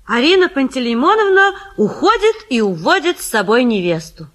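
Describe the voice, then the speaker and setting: A woman's voice: high voice, very animated, moderate pace. A woman narrator reading stage directions for an audio play in scripted narration.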